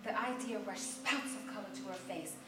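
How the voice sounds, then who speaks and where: A woman's voice: mid-range voice, normal speed. Female stage reader, middle register, literary narration; roomy, noisy live capture. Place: theater stage, recorded live. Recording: echoey, distant live theater recording, noisy.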